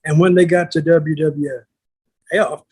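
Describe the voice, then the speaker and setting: An older man with a husky voice telling stories casually on a podcast, blunt and plainspoken, halting and repeating words. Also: on the high side, fairly even intonation, darkish tone. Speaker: podcast co-host, wrestling veteran.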